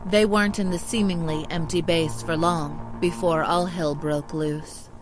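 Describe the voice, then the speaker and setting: A woman's voice: on the low side, steady tone, unhurried, rough recording. A woman reading scripted dramatic narration as the narrator of an audio drama. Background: music.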